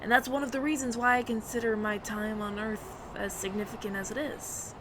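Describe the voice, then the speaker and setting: A woman's voice: fairly high pitch, little pitch movement, noisy background, close to an everyday voice. A woman playing a flustered, smitten alien roommate who stammers nervously and earnestly in an intimate audio roleplay. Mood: tender, earnest. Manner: nervous stammering, halting, repeats words.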